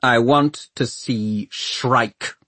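Haughty villainous city ruler, played by a man: imperious, clipped, animated intonation, storybook character. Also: mid-range voice, slow, slightly rough, no echo, voice pushed up, heavily acted. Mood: curt, imperious. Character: haughty, commanding male Lord Mayor, coolly ruthless villain.

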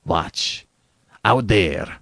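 An urbane storybook villain acted out by a male reader, an arrogant general who hunts men. He speaks with suave, precise condescension, and his lowish pitch swings widely. The sound is dry. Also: expressive, very slow, a bit bright, no room sound, heavily acted. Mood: sly, pleased.

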